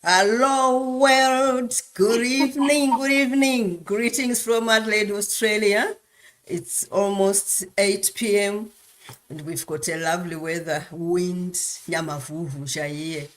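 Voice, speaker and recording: A woman speaking as a guest on a casual live-stream chat in a relaxed, matter-of-fact way. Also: mid-range voice, takes it slowly. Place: cold home.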